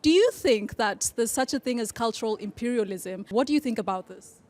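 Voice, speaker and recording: A woman from Kenya, a native Kikuyu speaker, hosting a panel discussion with lively, quick interjections. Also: fairly high pitch. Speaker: host.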